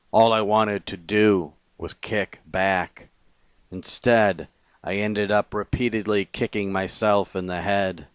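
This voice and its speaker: A man reading his own confessional poetry as a poet, in deliberate short phrases with pauses between them. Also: fairly low pitch, clean tone, dry recording.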